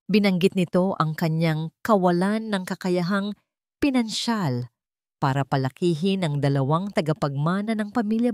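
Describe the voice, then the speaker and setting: A woman's voice: lowish voice, a little mellow, no room sound, clean studio sound. A woman with a Filipino accent narrating a dramatic scripted love story.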